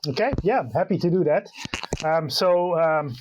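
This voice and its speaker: An older man from the Netherlands with a Dutch accent, a professor answering interview questions in an unhurried way with many fillers and restarts. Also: on the high side, noisy background. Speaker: guest professor of animal science.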